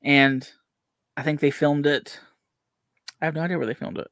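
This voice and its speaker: A man's voice: on the high side, normal speed, gravelly, fairly bright tone, no echo, studio quality. A young man from West Virginia with a Southern country accent, rambling through a casual movie chat with lots of fillers. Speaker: podcast co-host.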